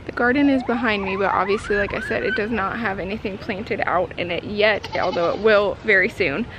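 A woman's voice: medium pitch, wildly swooping pitch, brisk, noisy background. A young woman chatting casually to camera outdoors in a backyard, in a conversational way sprinkled with "like" and "you know". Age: young adult. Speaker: homesteading family vlogger.

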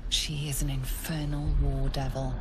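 A woman playing a grave storyteller of a dark fantasy game, narrating in a deliberate, portentous manner. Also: a bass voice, level tone. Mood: grave, ominous. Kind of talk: dramatic scripted second-person narration. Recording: loud music behind.

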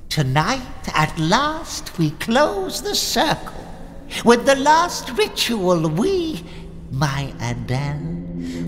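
An actor playing a villainous old bishop in a video game, fanatical and lecherous, with grandiose, drawn-out delivery that swoops from murmurs to shouts. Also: male voice, very high voice, heavily acted. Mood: fervent, rising. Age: older. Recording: long cavernous reverb under loud music.

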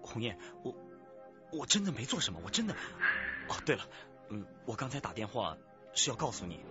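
A man's voice: medium pitch, very animated, fairly quick, muffled recording, music in the background, lightly acted. An actor playing a lovesick, desperate male suspect on the run in a radio drama. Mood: desperate, pleading.

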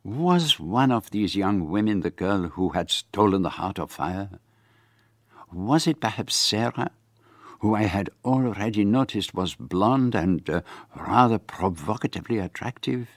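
A man's voice: on the low side, lively intonation, unhurried, no room sound, studio quality. A man playing a fussy, inquisitive foreign detective, formal and ornate in speech, narrating in a radio play. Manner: formal, ornate phrasing with hesitant fillers. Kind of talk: radio drama acting.

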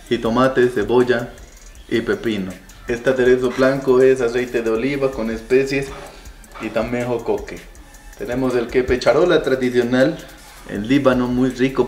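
A man presenting dishes in a jokey, faux-solemn, halting way. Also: medium pitch. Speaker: guest food taster. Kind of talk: mock-formal food presenting. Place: room in a home, indoors. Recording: roomy echo, faint music.

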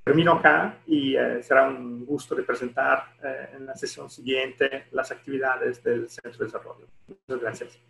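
Halting Italian man, roomy echo. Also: mid-range voice, steady tone, gravelly, a bit bright, a little hiss or hum. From Italy. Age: middle-aged. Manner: halting, searching for words in non-native Spanish. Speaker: deputy director at an international organisation, presenting. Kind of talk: formal presentation. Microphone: headset on a video call. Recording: roomy echo on video call.

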